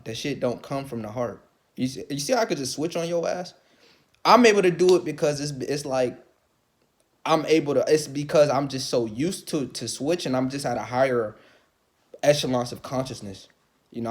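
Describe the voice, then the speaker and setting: Rambling, cursing man ranting to camera. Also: mid-range voice. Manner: swearing, rambling, repeats himself, rises to loud excited outbursts; calls his own voice light. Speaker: vlogger. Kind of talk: casual rant to camera.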